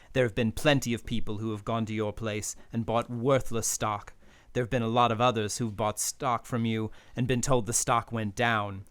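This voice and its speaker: A man's voice: lowish voice, a bit bright, smooth, natural voice. A man playing a cold, haughty swindler who poses as a palm reader, in an old-time radio drama. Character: smooth, scheming male swindler posing as palm reader.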